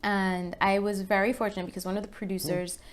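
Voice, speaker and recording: Smooth-voiced woman from Trinidad, chatty. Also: mid-range voice, level tone, fast talker. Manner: chatty, restarts often, laughs easily. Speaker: actress guest. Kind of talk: casual interview chat.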